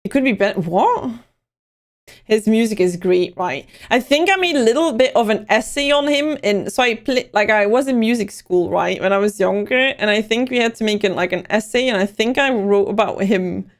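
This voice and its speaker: A woman's voice: mid-range voice, lively intonation, normal speed. A young Belgian woman, chatting casually on a livestream, rambling and answering chat messages as they come in. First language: Dutch. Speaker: livestream host.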